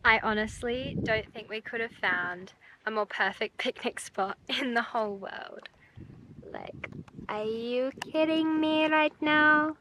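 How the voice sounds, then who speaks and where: A woman's voice: fairly high pitch, takes it slowly. A woman in her twenties chatting casually as a travel vlogger, with an informal, gushing and playful manner. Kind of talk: casual vlog chat. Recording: music playing under the voice. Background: music.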